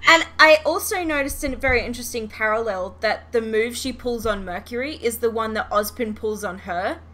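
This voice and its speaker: A woman's voice: fairly high pitch, moderate pace, bright, forceful tone. A woman hosting a podcast, chatting casually and expressively about a show, with some swearing. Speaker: podcast host. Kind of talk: casual fan chat reviewing a show.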